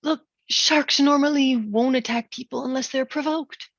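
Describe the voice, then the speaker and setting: A woman's voice: sits high, steady tone, moderate pace, no room sound, highs cut off. A woman reading a stubborn, dismissive beach-town mayor in a musical comedy table read, glib and insistent. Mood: defensive.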